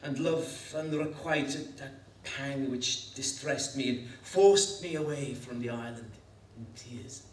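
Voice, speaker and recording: Slow, expressive man reciting poetry, echoey. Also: medium pitch, slight background noise. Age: middle-aged. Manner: measured, expressive, with dramatic pauses. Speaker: poetry reader. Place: school hall stage before an audience. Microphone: lectern gooseneck microphone. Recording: echoey hall, distant microphone.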